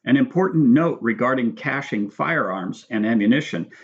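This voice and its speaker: A man's voice: mid-range voice, expressive, normal speed. Man narrating instructional audiobook, slightly dull recording. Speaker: author reading own book. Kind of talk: scripted audiobook narration with spoken asides.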